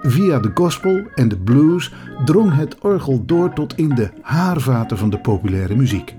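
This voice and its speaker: A man's voice: medium pitch, very animated, a little slow, over music. A man reading scripted narration for a documentary podcast as its narrator.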